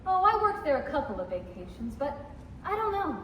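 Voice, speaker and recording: A woman's voice: high-pitched, very animated, brisk, a bit bright. A teenage female student actor playing a sweet, teasing, spirited young woman in a stage radio play. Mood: sweet, hopeful. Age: teens. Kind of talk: old-time radio play acting on stage. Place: high school theater stage. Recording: roomy stage, distant, background noise.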